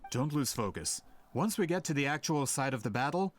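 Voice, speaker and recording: A man voicing a courteous, driven, smooth-talking expedition leader in a video game, somewhat theatrical. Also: on the high side, animated, brisk, slight background noise, lightly acted. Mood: focused, commanding.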